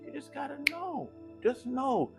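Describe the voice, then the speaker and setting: A man's voice: very high-pitched, very animated, measured pace, gravelly, faint music behind. A man giving casual motivational talk as a spiritual coach, slangy and emphatic, repeating phrases for effect. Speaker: spiritual coach and channel host.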